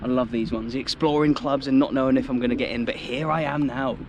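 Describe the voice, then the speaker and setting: A man's voice: mid-range voice, fairly even intonation, quick pace, muffled recording, a little hiss or hum. An English man filming a football travel vlog, walking round a stadium outdoors and exclaiming about it to a handheld camera. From England. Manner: enthusiastic, exclaims often.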